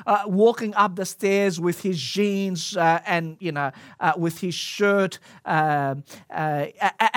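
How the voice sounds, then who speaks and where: A man's voice: high voice, fairly bright tone, no room sound, studio quality. A man telling a personal story in a casual, hesitant way, full of fillers and restarts, speaking English as a second language. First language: a language other than English.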